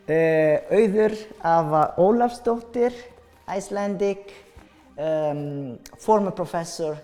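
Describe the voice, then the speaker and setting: A middle-aged Italian man with an Italian accent, interviewing a writer in a halting way, with many filler sounds. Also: on the high side, mellow, in a large hall. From Italy. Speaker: interviewer and translator. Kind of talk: hesitant conversational interview.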